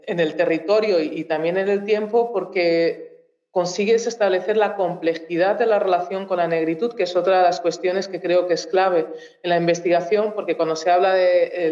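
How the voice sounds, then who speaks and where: A woman from Mozambique whose first language is Portuguese speaks at a conference in a university auditorium. She searches for her words, pausing and restarting often as she thinks aloud. The sound is roomy and echoing, a bit muffled and dull. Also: on the low side, darkish tone. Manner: hesitant, thinks aloud with frequent pauses and restarts. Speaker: researcher and panel speaker. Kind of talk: public talk at a conference.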